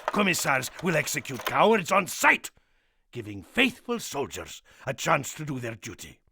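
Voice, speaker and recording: A man's voice: mid-range voice, sing-song, moderate pace, creaky, background noise. A man voicing a stern, declamatory Soviet officer with a Russian accent, rallying troops in a war game. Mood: rousing, threatening. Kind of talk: wartime propaganda speech.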